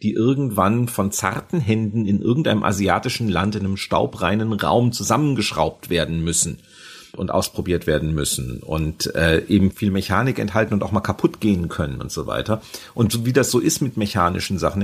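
A man's voice: lowish voice, a little fast, breathy edge. A man in a casual podcast discussion, telling long anecdotes and rambling explanations. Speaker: podcast guest, former industry insider.

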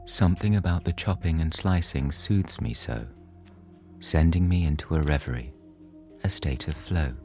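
A man narrating a scripted bedtime story in a soothing, measured manner. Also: a bass voice, expressive, a little mellow, faint music behind.

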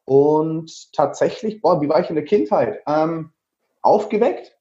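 A man in his thirties with a Franconian accent chats as an interview guest, rambling and joking as he goes. His voice is mellow and sits a little high. The recording sounds somewhat dull and lo-fi. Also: a little slow. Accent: Franconian German. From Lower Franconia, Germany. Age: 30s. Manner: lively, jokey, rambling with many fillers. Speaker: guest, travel speaker. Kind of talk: casual interview talk.

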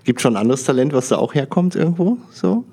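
A man from the Lower Rhine in Germany hosting a conversational interview, asking informal, rambling questions that often end in tag questions. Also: animated, brisk, gravelly, warm tone, breathy edge. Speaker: podcast interviewer.

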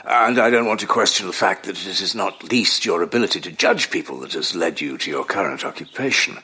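An actor playing an elderly, shrewd psychoanalyst in an audio drama, speaking in a formal, self-assured, gravelly and husky voice. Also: male voice, deep voice, expressive, a little fast, bright, forceful tone, exaggerated voice. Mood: measured, courteous. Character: elderly male Viennese psychoanalyst, shrewd, courteous, quietly persuasive. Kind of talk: full-cast audio drama acting.

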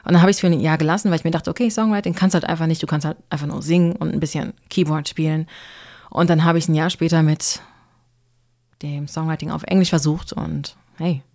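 A woman's voice: low voice, fairly even intonation, fairly quick, warm tone. A young woman from Germany, a singer-songwriter, answering interview questions in an easygoing way.

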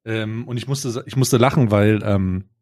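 An adult man co-hosting a podcast in casual chat, asking slow, drawn-out questions and laughing easily. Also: on the low side, a little mellow. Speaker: podcast co-host.

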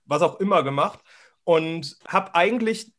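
A man in his twenties, a dance teacher, chatting casually in a rambling, relaxed way with many fillers. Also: a little high, unhurried. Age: 20s. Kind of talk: casual conversation.

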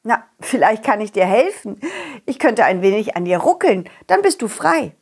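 A woman voicing a confident, loyal dog who tells an adventure to children in an expressive, chatty way. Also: mid-range voice, normal speed, husky. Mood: helpful. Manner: animated, expressive, addresses children directly. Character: confident, loyal, friendly talking dog telling his adventure. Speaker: puppeteer storyteller. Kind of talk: children's audio story, narrating to kids.

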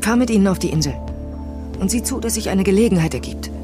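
Actress doing controlled middle aged woman, quietly vengeful, cool and deliberate, audio drama with music behind. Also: mid-range voice, lively intonation, lightly acted. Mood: cold, resolute. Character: determined, quietly vengeful woman seeking justice. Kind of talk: naturalistic audio drama dialogue.

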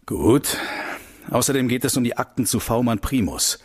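A man's voice: mid-range voice, expressive, normal speed, slightly rough. An actor playing a combative male investigator in a radio play, indignant and bitingly sarcastic. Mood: indignant, demanding.

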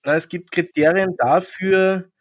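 Man, slightly high pitch, explaining, hesitant fillers, casual chat. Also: fairly even intonation, measured pace. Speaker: podcast co-host explaining hockey.

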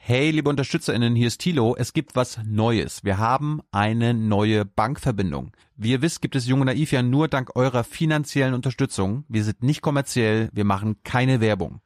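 A man's voice: mid-range voice, normal speed, hardly any pauses, a little mellow, no room sound, studio quality. A man speaking straight to the show's supporters in a friendly, upbeat announcement. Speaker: show host, appeal to supporters. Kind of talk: direct address to viewers.

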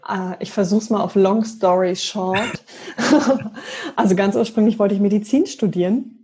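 A woman from Berlin, a guest leadership coach, speaking in a measured, articulate way in a conversational interview. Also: medium pitch, mellow, slightly rough. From Berlin, Germany. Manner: measured, articulate, occasional fillers.

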